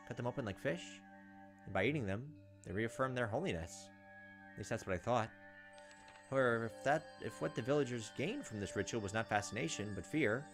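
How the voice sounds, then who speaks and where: A man reading visual novel text aloud as a let's play host, moving between narration and casual asides. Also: medium pitch. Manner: pauses to comment on the text.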